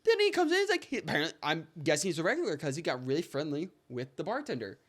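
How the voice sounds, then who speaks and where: A young man co-hosting a podcast, telling stories in easy, relaxed chat. His voice sits a little high and swings widely in pitch, and it jumps up sharply when a story excites him. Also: fast. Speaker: podcast co-host.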